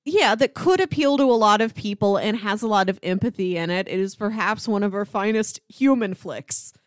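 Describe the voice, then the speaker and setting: A woman's voice: mid-range voice, animated, moderate pace, dry recording, clean studio sound. A woman co-hosting a podcast, talking in a casual movie review chat. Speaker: podcast co-host.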